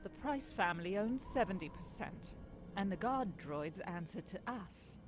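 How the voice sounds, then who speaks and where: Woman acting young ambitious aide lady, firm and clipped, lively pitch, loud music behind her. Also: unhurried, close to an everyday voice. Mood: defiant. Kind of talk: acted comic dub dialogue.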